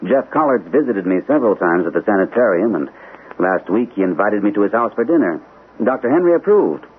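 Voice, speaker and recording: An actor playing a troubled, shell-shocked army captain in a radio drama, grave, uneasy and confessional. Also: male voice, fairly low pitch, very animated, brisk, a little hiss or hum. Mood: uneasy, polite. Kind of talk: radio drama acting and first-person narration. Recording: narrow-band old radio broadcast.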